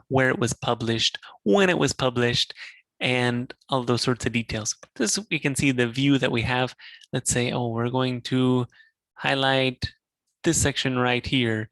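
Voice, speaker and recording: A man presenting a software demo, calmly walking through each click of a screen share. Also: mid-range voice, unhurried, no room sound. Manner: relaxed, explanatory, walks through clicks step by step.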